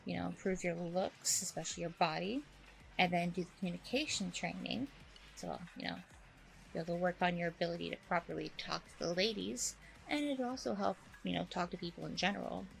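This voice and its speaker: A woman playing a chatty, self-assured dating coach in an ASMR roleplay, rambling and reassuring with a creaky voice. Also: on the low side, brisk, a little hiss or hum, rough recording. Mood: businesslike, friendly. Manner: rambling, hesitant asides, reassuring. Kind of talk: ASMR roleplay, one-sided conversation with the listener.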